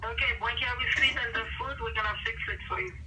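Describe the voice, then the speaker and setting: A woman's voice: on the high side, quick pace, distant mic. A woman employee handling a customer service call, heard through a phone speaker. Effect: telephone on speaker. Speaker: fast food employee taking a phone call. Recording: noisy speakerphone heard from afar.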